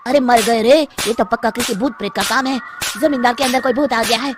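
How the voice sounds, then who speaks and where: A woman's voice: a little high, a little fast, over music, lightly acted. A woman voicing worried, gossipy village men in a cartoon story, alarmed about a ghost.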